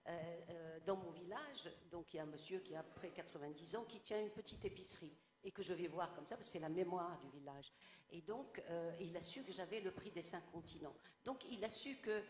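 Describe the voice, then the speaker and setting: A woman's voice: lowish voice, brisk, reverberant, big-room sound, a little hiss or hum. A woman from Tunisia whose first language is Arabic, speaking as a writer on a discussion panel, reflective and full of stories. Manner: reflective, storytelling. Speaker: guest writer.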